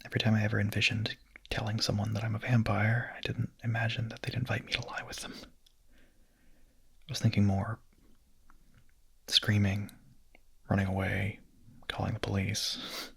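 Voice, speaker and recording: A man playing a young vampire in an intimate ASMR roleplay, speaking softly and haltingly in a breathy, husky whisper, hungry yet hesitant and tender. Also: lowish voice, monotone, full character voice. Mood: surprised, shy.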